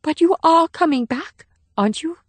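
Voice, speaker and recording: A man's voice: very high voice, fairly even intonation, moderate pace, a little mellow, no room sound, pitched above the performer's own voice. A man doing a frightened ten-year-old girl. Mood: fearful. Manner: small, pleading.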